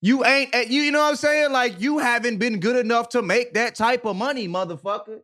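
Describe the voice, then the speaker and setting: A man's voice: extremely high pitch, brisk, bright, forceful tone. An American man co-hosting a podcast with casual, slangy, profane and emphatic banter. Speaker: podcast co-host.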